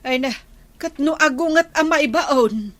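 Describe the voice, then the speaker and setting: A woman's voice: high-pitched, measured pace, a bit bright. An actress with an Ilocano Filipino accent playing a grumbling, long-suffering village woman in a radio comedy drama.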